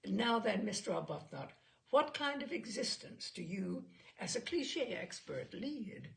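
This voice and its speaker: A woman's voice: medium pitch, very animated, unhurried, vocal fry, exaggerated voice. A woman reader playing a formal, courteous, methodical examiner who questions a witness in a comic story. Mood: brisk, probing.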